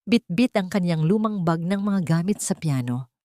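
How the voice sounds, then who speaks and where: A woman's voice: lowish voice, lively intonation, moderate pace, dark tone, no room sound. A woman with a Filipino accent reading a scripted audio story in a dramatic storytelling style.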